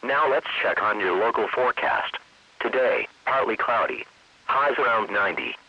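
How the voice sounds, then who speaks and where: A mid-pitched synthetic man with a rough, husky edge reads a scripted weather forecast. His delivery is formulaic and impersonal. The sound is dry, lo-fi weather radio. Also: moderate pace, dry recording. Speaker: automated weather radio announcer. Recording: dull lo-fi weather radio stream.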